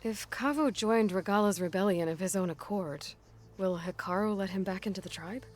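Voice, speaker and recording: A woman's voice: mid-range voice, moderate pace, natural voice. A woman playing a compassionate, determined young hunter heroine in a game cutscene, earnest and direct. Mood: sympathetic, earnest. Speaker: game character actor. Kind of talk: naturalistic game cutscene acting. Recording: music behind the voice.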